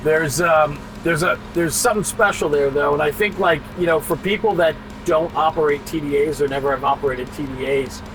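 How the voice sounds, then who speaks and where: A man's voice: fairly high pitch, a little fast, few pauses. A man hosting a casual on-location interview, conversational and often seeking agreement as he explains. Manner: conversational, often checking with "right?". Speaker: interviewer and host. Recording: clear vehicle and street noise.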